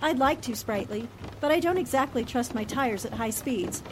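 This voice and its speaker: A woman's voice: a little high, normal speed, close to an everyday voice. An actress playing a good-natured, sensible newspaperwoman in a 1940s-style radio drama, with a mild, agreeable manner. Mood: mild, rueful. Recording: clear rain and car noise behind. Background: rain and vehicle sounds.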